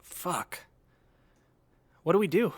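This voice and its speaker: A man's voice: high-pitched, sing-song, slow, husky, no echo, exaggerated voice. A young man acting as an eager, easily miffed gamer in a naturalistic audio drama. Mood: uneasy.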